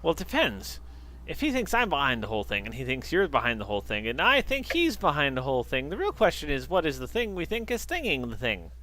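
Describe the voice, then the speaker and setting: A man's voice: mid-range voice, huge pitch swings, quick pace, bright, forceful tone. A man hosting and running a tabletop game as dungeon master, casually joking with his players. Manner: jokey, laughs often.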